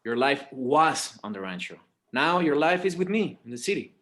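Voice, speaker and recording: A man's voice: medium pitch, very animated, normal speed, fairly bright tone. A man acting as an exasperated but dutiful forty-year-old son, hesitant and weary, in a naturalistic table read. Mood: weary, pleading.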